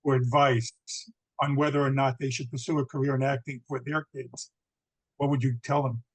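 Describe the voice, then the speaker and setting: A man's voice: medium pitch, flat delivery, normal speed, breathy edge, rough recording. A man hosting an interview, putting questions to a guest in casual conversational style. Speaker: interview host.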